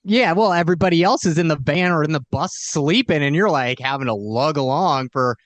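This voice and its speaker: A man's voice: a little high, animated, fairly quick, no room sound. A man co-hosting a casual, chatty interview with a guest.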